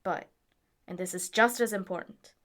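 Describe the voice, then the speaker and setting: Woman playing a bright, blunt young traveler. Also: medium pitch, moderate pace, close to an everyday voice. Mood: firm, reasoning. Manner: frank, informal, reasoning. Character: blunt, pragmatic young woman traveler, exasperated but caring. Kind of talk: audio drama acting.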